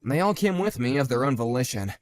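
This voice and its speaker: A man voicing a calm, sardonic grown man who talks in a level, dry and cutting way, in a one-man voiced drama. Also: medium pitch, fast talker, faint music behind, pitched below the performer's own voice, close to an everyday voice.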